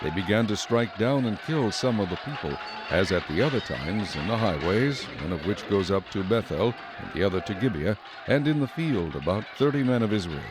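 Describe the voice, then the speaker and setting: Low-voiced male Bible narrator over background ambience. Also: animated, background noise. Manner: solemn, measured storytelling. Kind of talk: scripted dramatized Bible narration.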